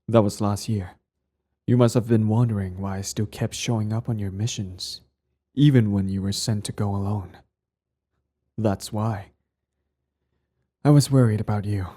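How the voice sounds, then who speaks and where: A man playing a cold, sardonic villain with secret tenderness in an intimate ASMR roleplay, his voice low, husky and breathy. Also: warm tone. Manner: slow deliberate delivery, sardonic, condescendingly affectionate. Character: cold, mocking male villain, protective ex-hero, secretly tender.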